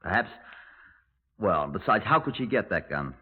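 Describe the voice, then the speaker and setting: A muffled old radio recording of a middle-aged police superintendent. His low voice swings freely in pitch while staying dry and methodical. He sounds world-weary and formal, choosing words with deliberation. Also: very animated, moderate pace, husky, heavily acted. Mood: musing. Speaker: detective and narrator. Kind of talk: 1950s radio crime drama. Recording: muffled, narrow-band 1950s radio recording.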